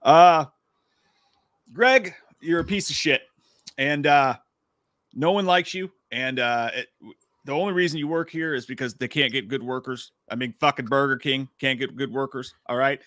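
A man's voice: medium pitch, normal speed, a bit bright, dry recording, clean studio sound. A man in his thirties hosting a livestream, joking crudely and laughing often while reading viewer messages. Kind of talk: casual livestream chat. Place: home room with shelves. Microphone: desk mic.

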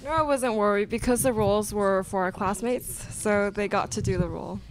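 A woman's voice: medium pitch, steady tone, normal speed. A young adult woman, a student presenter speaking live at a radio theatre show. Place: indoor classroom stage before small audience. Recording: noisy live room recording.

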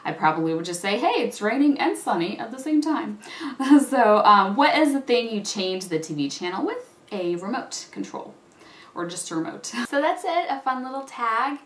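A woman's voice: on the high side, big pitch swings, moderate pace, slight background noise. A young woman born in Russia, chatting casually to camera as a YouTuber and laughing often between words. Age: young adult. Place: small indoor room with patterned wall. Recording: echoey room.

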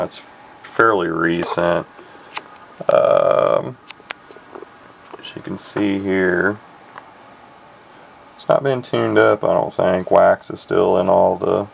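Guy with a real low gravelly voice rambling about his old radio, laid back and folksy, lots of um and uh. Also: fairly bright tone. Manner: rambling, folksy, many fillers and restarts. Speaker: radio hobbyist showing his gear. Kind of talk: casual show-and-tell, off the cuff. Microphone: camera mic, speaker off screen behind the camera.

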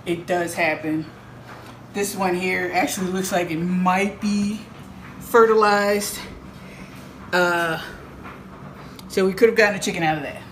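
A woman's voice: on the low side, fairly even intonation, measured pace, pauses often. A middle-aged woman chatting to the camera in her home kitchen, playful and rambling, laughing often. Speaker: homesteading vlogger. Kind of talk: casual chat to camera. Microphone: camera a few feet away. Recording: echoey kitchen with clear noise.

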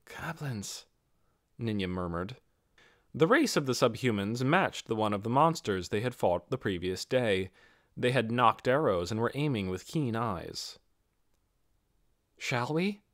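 A man's voice: fairly low pitch, lively intonation, normal speed, fairly bright tone, no room sound, studio quality. A man reading a fantasy novel as a scripted audiobook narration.

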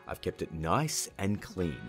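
A man's voice: sits low, sing-song, a little slow. A man in his thirties presents a casual tutorial with music behind him. His voice moves up and down a lot. He is chatty and playful, with an informal way of guiding listeners through each step. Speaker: tutorial host. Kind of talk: casual scripted video tutorial.

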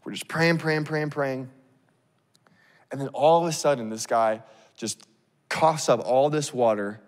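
A man in his thirties preaching on a church stage into a handheld mic, telling a story in a casual, animated way. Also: mid-range voice, unhurried. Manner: animated, acts out scenes, casual fillers. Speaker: pastor. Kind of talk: sermon storytelling. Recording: long reverb of a big hall.

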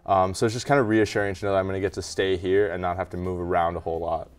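A young man from Canada answers questions in a small brick-walled TV studio through a lapel mic. His voice sits low and comes across clean and smooth, and he speaks quickly and modestly, leaning on words like "kinda.". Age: young adult. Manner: relaxed, modest, many fillers like "kinda" and "um". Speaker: college hockey goalie guest.

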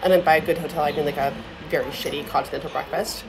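A young woman in her twenties, slightly hoarse from losing her voice, chatting casually to her phone camera in a vlog. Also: sits low, fairly even intonation, very fast, crowd noise, low-quality recording. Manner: chatty, upbeat, lots of fillers. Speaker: vlogger. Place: city streets, airport lounge, resort. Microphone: phone held at arm's length. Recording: loud background noise.

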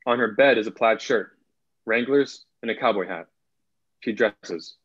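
A young man reading screenplay stage directions in a plain, measured way as narrator. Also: fairly low pitch, a bit bright, breathy edge. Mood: measured, neutral. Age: young adult. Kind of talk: scripted narration, table read. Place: small room at home, on video call. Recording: dull video call audio.

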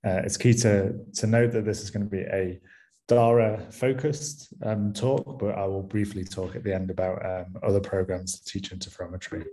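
A man with a Manchester-area English accent gives a conference talk from a small room over a webcam. His voice sits low and sounds mellow, and his delivery is relaxed, full of ums and small restarts. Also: normal speed, rough recording. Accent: Mancunian English. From England, near Manchester. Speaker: university lecturer.

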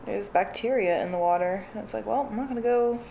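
A woman's voice: mid-range voice, fast, gravelly, mellow, a little hiss or hum. A young adult woman hosting a craft livestream, chatting casually in a blunt, dry way. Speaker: livestream host. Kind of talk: casual chat while doing crafts. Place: living room or home craft room.

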